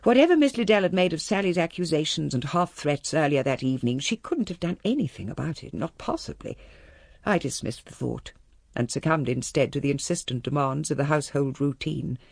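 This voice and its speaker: A woman's voice: sits low, expressive, gravelly, darkish tone, no room sound, exaggerated voice. A composed English lady of the house with upper-class diction. She is cool and guarded, her intonation widely varied, in a close, dry radio drama recording. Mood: reflective. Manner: cool, measured, politely guarded. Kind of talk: radio drama, first-person narration and dialogue.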